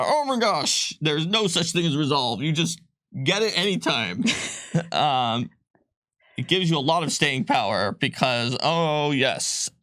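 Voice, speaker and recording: A man casually explaining tabletop game rules on a live stream, chatty, with fillers and quick laughs. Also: medium pitch, fairly bright tone, dry recording. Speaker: game designer presenting a rulebook on a live stream.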